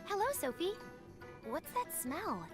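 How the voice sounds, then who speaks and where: A woman's voice: very high-pitched, sing-song, measured pace, full character voice. A woman voicing a composed, helpful young woman in an anime game, speaking politely. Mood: composed, concerned. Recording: game music behind the voice.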